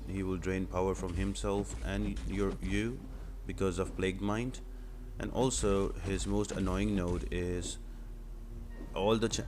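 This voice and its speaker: A man's voice: deep voice, noisy background. A man giving casual, explanatory video game guide commentary, speaking directly to viewers as the host. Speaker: host of a gaming guide channel. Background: music behind the voice.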